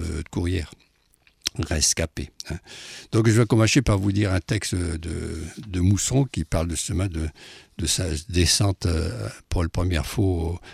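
A man giving an informal talk to an audience, halting and chatty, often tagging his phrases with "hein". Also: sits low, vocal fry, a little mellow, breathy edge, no room sound, studio quality. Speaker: speaker presenting a dialect poet.

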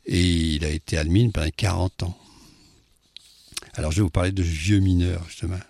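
A man giving an informal talk to an audience, halting and chatty, often tagging his phrases with "hein". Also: low voice, fairly even intonation, gravelly, darkish tone, dry recording. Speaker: speaker presenting a dialect poet.

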